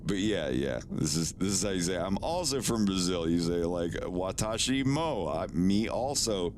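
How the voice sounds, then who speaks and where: A man streaming live in casual chat, relaxed, often stammering and repeating words and swearing now and then. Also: low-pitched, moderate pace, noisy background. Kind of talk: casual live-stream chat while doing language lessons.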